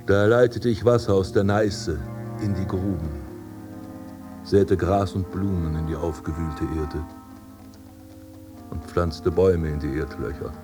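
A man's voice: deep voice, steady tone, measured pace, long pauses. An actor playing a melancholy, dreaming nobleman and gardener in a radio drama, brooding and terse over music. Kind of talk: literary radio drama narration.

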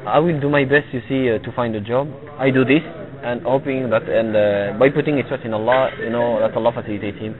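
A man's voice: medium pitch, steady tone. Man on a tinny recording. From Tunisia. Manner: halting, repeats himself, often asks "you see?". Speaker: religious teacher. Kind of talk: religious lesson to a live audience. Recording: phone-like lo-fi recording with crowd noise. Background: listeners gathered in the room.

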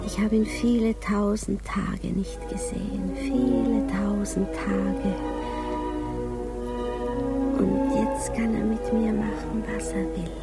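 A woman's voice: medium pitch, flat delivery, takes it slowly, gravelly. An actress playing a shy, yearning provincial woman in a 1950s German radio drama, speaking in a dreamy, intimate way. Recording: 1950s radio production, loud music underneath. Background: music.

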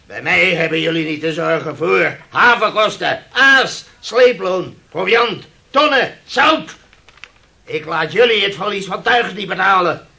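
Haughty male shipowner, radio drama; scolding, highish forceful voice with a gravelly edge. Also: expressive, a little slow, heavily acted. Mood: lecturing. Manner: lecturing, indignant, scolding. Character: haughty, hard-nosed shipowner, a man of authority. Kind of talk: Dutch radio play acting.

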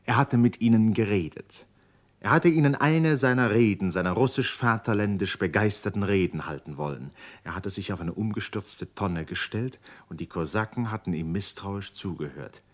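A man's voice: on the low side, normal speed, lightly acted. A man narrating a German radio play as a grave literary storyteller. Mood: grave, suspenseful. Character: grave male storyteller of a dramatic novel.

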